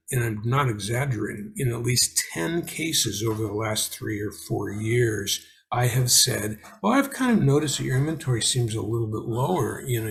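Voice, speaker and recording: Older man, deliberate and opinionated speaker. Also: mid-range voice. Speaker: panel host and business commentator. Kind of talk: informal business discussion, extended explanation.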